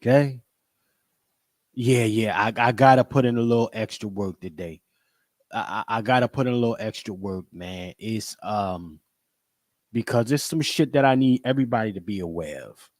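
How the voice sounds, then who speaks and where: A man's voice: fairly low pitch, normal speed, darkish tone, no echo, clean studio sound. A man hosting a podcast livestream in a casual, emphatic way, repeating his greetings and catchphrases. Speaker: podcast host.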